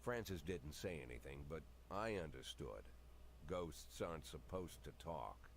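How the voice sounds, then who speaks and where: An actor playing a weary, cynical middle-aged police chief, narrating a noir-style video game story in a deadpan, brooding manner. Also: male voice, very deep voice, a little slow, a little hiss or hum, heavily acted.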